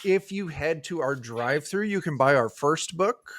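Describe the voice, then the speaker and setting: A man's voice: a little high, expressive, moderate pace, a bit bright, no room sound, clean studio sound. A man in his thirties chatting casually as a game designer guest in an interview. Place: room at home, on video call.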